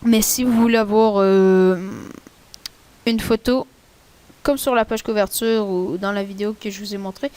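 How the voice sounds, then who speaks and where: Woman, Québécois French accent, fairly high voice, casual tutorial talk, rambling and slangy. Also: vocal fry, a little mellow, clear, resonant tone. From Quebec. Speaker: tutorial maker. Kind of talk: casual screen-recorded tutorial.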